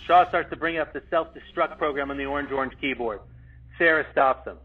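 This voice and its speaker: A man's voice: on the high side, brisk, slightly rough. A man reading script directions aloud at a live table read, informal, with asides. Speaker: stage-direction reader. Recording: dull, lo-fi sound, faint music behind.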